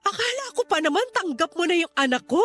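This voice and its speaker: A man's voice: extremely high pitch, big pitch swings, quick pace, sharp tone, soft background music, pitched above the performer's own voice, heavily acted. A man voicing a hurt, protective young mother with pleading, accusing questions in a Tagalog radio drama.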